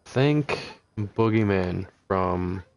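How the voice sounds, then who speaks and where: A man talking quietly on a live game stream through a headset, musing aloud and reading in-game text, sometimes stumbling over odd names. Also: low voice, animated, very slow. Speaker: livestreamer playing a video game. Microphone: headset with noise gate.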